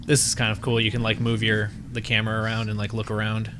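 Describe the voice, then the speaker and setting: A man's voice: on the low side, completely flat delivery, fast, background noise. A man casually chatting about video games with co-hosts while they watch gameplay, rambling with frequent ums. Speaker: game magazine staff host. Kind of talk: casual chat over gameplay.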